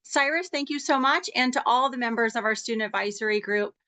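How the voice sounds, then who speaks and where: A woman's voice: on the high side, fairly quick, pushed and bright. A woman organizer thanking the student volunteers in a scripted way.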